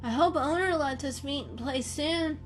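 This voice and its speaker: A woman acts out a friendly young boy kitten from a storybook. The voice sits high and eager, with a polite, reassuring manner. Soft music is part of the recording. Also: normal speed, lightly acted.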